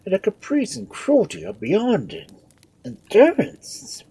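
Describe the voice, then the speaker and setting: Woman playing a storybook doctor, male, groaning complaints, husky, pitched up. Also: huge pitch swings, takes it slowly, dark tone, no room sound, full character voice, low-quality recording. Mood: aggrieved. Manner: groaning, aggrieved.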